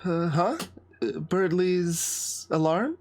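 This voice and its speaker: A man voicing a timid, sweet teenage girl from a video game, flustered and stammering gently. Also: high voice, takes it slowly, slight background noise. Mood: startled, flustered. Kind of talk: game dialogue read aloud.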